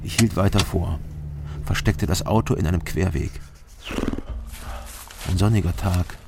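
An actor playing a terse, world-weary investigator who narrates a crime radio drama in short, clipped phrases. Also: male voice, low voice, unhurried, vocal fry, heavy background noise. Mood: tense, matter-of-fact. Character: terse, world-weary male investigator recounting his case. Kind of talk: scripted first-person crime narration. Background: music.

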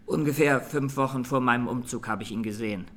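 A man playing a traumatised, earnest young Armenian student giving plain, deliberate testimony as a defendant in a radio drama. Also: medium pitch, fairly bright tone, slight background noise, lightly acted. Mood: haunted, subdued.

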